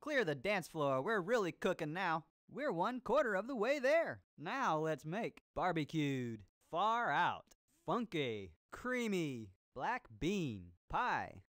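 A man playing a groovy, hammy disco-era TV cooking show host in an educational game, using showy slang and drawn-out words. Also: sits high, sing-song, no room sound, studio quality, exaggerated voice. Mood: groovy, upbeat. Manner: hammy, drawn-out showman delivery. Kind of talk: exuberant 1970s disco slang show hosting. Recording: loud music under the voice.

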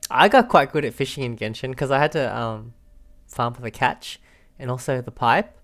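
A man's voice: mid-range voice, normal speed. Young male streamer, laid-back casual reaction commentary, close mic in a small room. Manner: relaxed, giggly, repeats himself. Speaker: game streamer.

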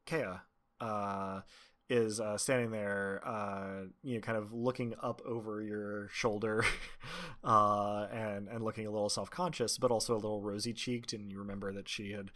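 A man narrating a tabletop game as game master, improvising with frequent pauses. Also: on the low side, fairly even intonation, a bit bright.

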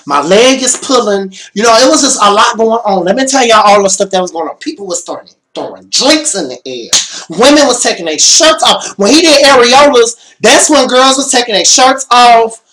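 A young man, slightly hoarse, excitedly recapping a concert in a casual bedroom vlog, swearing and repeating himself. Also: extremely high pitch, moderate pace, poor audio. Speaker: vlogger. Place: small bedroom.

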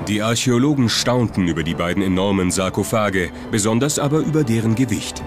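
A man with a low voice narrates a documentary from a script. He speaks as the main narrator, with prominent music playing behind him. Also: animated, brisk.

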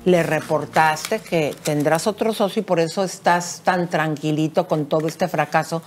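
A woman's voice: sits low, normal speed. A middle-aged woman with a Mexican accent, co-hosting a celebrity gossip show from a TV studio, talking straight to viewers in a chatty way with fillers. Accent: Mexican Spanish. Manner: conversational, addresses viewers as comadres, fillers eh and este. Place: bright TV studio set.

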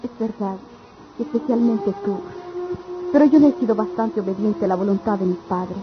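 An actress playing a dutiful, sorrowful young country sweetheart in a radionovela, speaking solemnly and earnestly. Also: female voice, mid-range voice, moderate pace, music in the background, lightly acted. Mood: earnest resolve. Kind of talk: radionovela acting. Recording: dull, noisy old radio drama recording.

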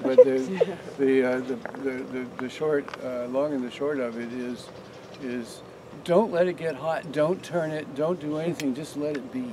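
An older man giving a casual walking tour of his farm outdoors, talking to a group with many restarts and fillers. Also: mid-range voice. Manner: rambling, frequent restarts and fillers. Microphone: camera among a standing group.

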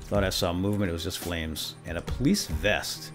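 A man's voice: low voice, moderate pace, music in the background. A man hosting a gaming let's play, giving playful, exuberant commentary as he talks to himself and viewers. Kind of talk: playful game commentary with light role-play.

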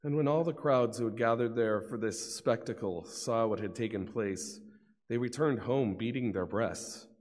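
Casting: middle-aged male scripture narrator, formal and deliberate, medium pitch, long church reverb. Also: darkish tone. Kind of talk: solemn dramatic scripture narration. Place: church lectern, indoors. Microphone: gooseneck lectern microphone.

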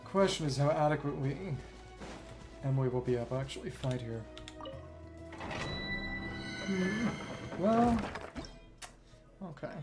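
A man giving casual gameplay commentary as a streamer, thinking aloud in short, halting fragments. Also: on the high side, animated. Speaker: game streamer. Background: video game music.

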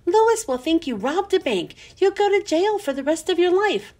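A woman's voice: very high-pitched, very animated, normal speed, darkish tone, voice pushed up. A woman voicing a greedy, pushy schoolgirl who coaxes and makes dramatic warnings in a storybook reading. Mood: scheming.

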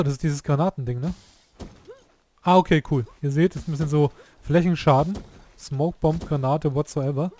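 A hoarse man giving casual, excitable commentary while playing a game, often exclaiming and swearing at what happens. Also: on the high side. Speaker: let's play youtuber.

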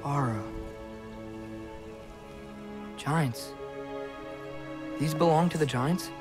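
A man playing an earnest, curious teenage boy hero, gentle and a little awkward, in a video game cutscene. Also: on the high side, slow, music in the background. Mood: awed, uncertain.

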